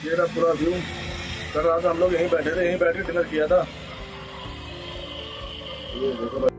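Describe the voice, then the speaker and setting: A man's voice: on the high side, normal speed, over music. A man in his thirties casually talking through a travel vlog on a phone at arm's length, outdoors and in a car. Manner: chatty, calling out to friends. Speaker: travel vlogger. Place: outdoors on a rainy hill-town street and in a car. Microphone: phone held at arm's length. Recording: noisy outdoor street.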